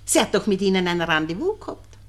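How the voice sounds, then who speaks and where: An actress playing a nosy, polite married Viennese neighbour in a radio drama. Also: female voice, medium pitch, very animated, fast talker, natural voice. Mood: chatty, polite. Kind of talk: 1960s German radio drama acting.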